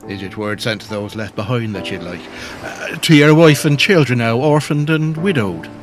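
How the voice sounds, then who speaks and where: A man's voice: mid-range voice, expressive, moderate pace, faint music behind, natural voice. Formal, fatherly village priest played by a man. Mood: solemn, solicitous. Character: village priest, pious, confident, solicitous ghost investigator. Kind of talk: audio drama dialogue.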